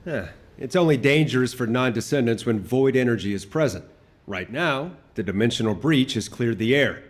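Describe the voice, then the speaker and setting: An actor playing a casual, sardonic veteran fighter in a video game, with a dry joking manner. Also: male voice, mid-range voice, animated, moderate pace, lightly acted. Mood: wry. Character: wry, laid-back veteran male fighter, Blair. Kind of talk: video game dramatic acting.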